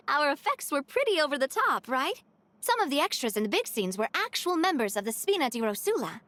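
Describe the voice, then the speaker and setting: Very high, bubbly young game girl. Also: very animated, fairly quick, fairly bright tone, slight background noise. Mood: cheerful. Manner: chirpy, giggly, enthusiastic. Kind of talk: video game voice acting.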